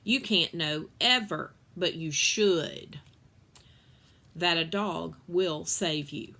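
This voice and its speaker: A woman voicing a father who reads out a dog's earnest poem in a slow, deliberate recitation. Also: fairly low pitch, sharp tone, full character voice. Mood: earnest, solemn.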